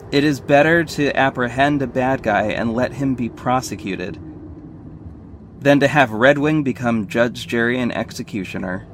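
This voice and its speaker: A man's voice: mid-range voice, natural voice. A man playing a confident, flirty detective and secret vigilante, narrating an audio drama in the first person, conversational and confiding. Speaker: narrator and lead character. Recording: faint background noise.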